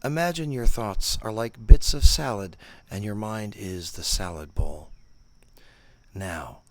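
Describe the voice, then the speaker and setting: A man narrating a scripted parody guided meditation, giving instructions in a deadpan, condescending manner. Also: on the low side, slow, no echo.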